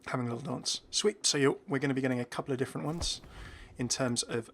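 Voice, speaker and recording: A man's voice: mid-range voice, quick pace, creaky, a little hiss or hum. A man hosting a gaming video, talking through game cosmetics with casual, enthusiastic, rambling reactions.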